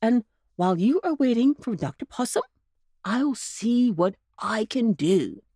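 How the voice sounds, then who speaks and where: A cheerful, clever old gentleman rabbit from a storybook, as a woman reader puts him on, raised high above her plain voice and drawn out slowly. He coaxes and jokes in a jolly, lilting tone that swings up and down, recorded close and dry. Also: sing-song, slightly rough, no echo, full character voice. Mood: kindly.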